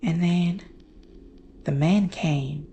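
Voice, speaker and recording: A woman playing a haunted, fearful witness, telling a horror story in a hushed, halting, deliberate way. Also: fairly low pitch, a little hiss or hum. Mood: haunted, uneasy. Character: haunted, fearful woman recounting her mother's terrifying secret. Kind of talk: slow dramatic horror storytelling.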